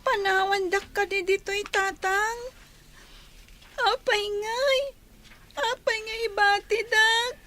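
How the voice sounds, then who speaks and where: A woman's voice: very high voice, fairly even intonation, takes it slowly, pauses often, sharp tone, soft background music, full character voice. A woman playing an anxious, obedient young daughter with a plaintive manner in an Ilocano radio drama. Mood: tearful pleading.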